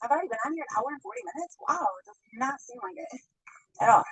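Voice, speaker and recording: Woman, high voice, dry close sound, chatty livestream talk. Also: expressive, quick pace, dry recording, low-quality recording. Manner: chatty, greets viewers by name. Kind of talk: casual livestream chat. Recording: sped-up archived livestream audio.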